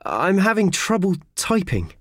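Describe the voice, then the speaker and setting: A man's voice: high-pitched, huge pitch swings, a little slow, no room sound, studio quality, voice pushed up, full character voice. A man voicing a meek, flustered male office worker in a children's story, stammering and protesting hesitantly. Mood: flustered, pleading.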